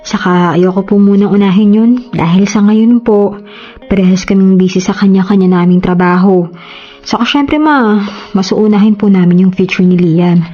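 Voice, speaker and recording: Tender young mother, mellow and slightly muffled woman acting. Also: medium pitch, steady tone, moderate pace, faint music behind, close to an everyday voice. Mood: respectful, deferring. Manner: affectionate, coaxing, mixes in English phrases. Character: tender, playful young mother of a little boy. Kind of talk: Tagalog radio drama acting. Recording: slightly dull audio.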